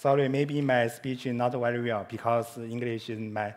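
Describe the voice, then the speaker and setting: A man with a Chinese accent, a native Chinese speaker, giving a conference presentation in halting English with frequent restarts and self-corrections. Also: medium pitch, fairly even intonation.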